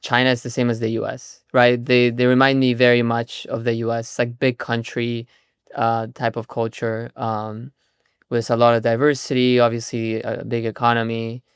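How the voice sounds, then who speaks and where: A man in his thirties from Chile, a founder answering interview questions in a relaxed, chatty way. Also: mid-range voice, moderate pace, smooth, dry recording, clean studio sound. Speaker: guest, startup founder.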